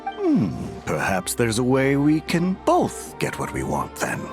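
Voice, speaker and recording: Sly male dealmaker, persuasive, extremely swooping pitch, expressive, video game dialogue, music behind. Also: a little slow. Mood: wheedling, sly. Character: sly, persuasive haggling buyer angling for deals.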